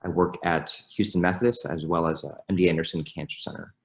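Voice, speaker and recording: A man from Florida, an emergency physician speaking in a steady, measured way with some fillers. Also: deep voice, creaky. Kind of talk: video testimonial.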